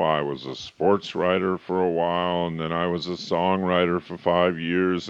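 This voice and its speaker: A man in his fifties or older giving a motivational talk as a coach and author, emphatic and slowing down hard on key points. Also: deep voice, dry recording. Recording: slightly muffled, dry recording.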